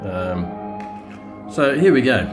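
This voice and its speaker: A man giving a casual, unscripted product demonstration as a reviewer, hesitant and halting as he thinks aloud. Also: medium pitch, very animated, music in the background. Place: outdoors or by a window in bright daylight, filming a radio.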